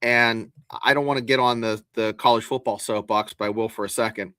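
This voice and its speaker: A man's voice: on the low side, fairly even intonation, brisk, bright, forceful tone, clean tone, no echo, clean studio sound. A man co-hosting a sports podcast, explaining his takes in a folksy, casual way. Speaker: podcast co-host.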